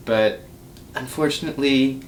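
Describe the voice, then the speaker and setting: A young man giving a casual, rambling update as host of a gaming channel, recorded indoors in a small room. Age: young adult. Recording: roomy echo, low rumbling noise.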